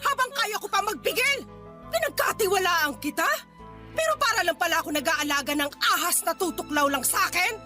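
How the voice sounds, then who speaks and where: A woman's voice: extremely high pitch, lively intonation, a little fast, bright, forceful tone, faint music behind, heavily acted. An actress playing a stern, jealous mother in a Filipino radio drama, scolding and commanding. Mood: furious. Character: furious, jealous, stern mother who orders people out.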